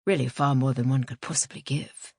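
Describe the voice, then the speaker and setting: A woman's voice: very deep voice, fairly even intonation, a little fast, a little mellow, slightly rough, dry recording, studio quality, pitched below the performer's own voice, exaggerated voice. A woman voicing a charming, educated man in an audiobook, speaking in a wry, teasing, languid way. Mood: wry, flirtatious. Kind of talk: audiobook character voice.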